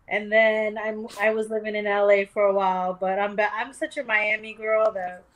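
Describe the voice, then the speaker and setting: A woman's voice: mid-range voice, flat delivery, normal speed, fairly bright tone. A middle-aged woman guest from New York on a casual podcast, telling her story candidly and swearing freely. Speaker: guest author.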